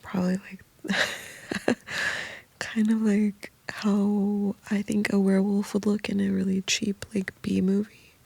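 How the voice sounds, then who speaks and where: A woman whispers in a low, gravelly, breathy murmur, rambling softly and intimately. Her tone stays mellow and flat. She is lying on the floor of a small sound booth. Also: slow, dry recording. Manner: soft whispering, meandering and intimate. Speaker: host. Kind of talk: whispered late-night rambling.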